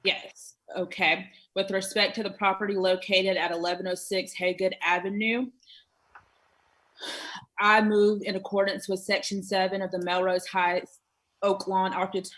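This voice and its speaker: A woman's voice: medium pitch, level tone, measured pace, a bit bright. A woman serving as a commission member, deliberating and stating motions in a formal meeting.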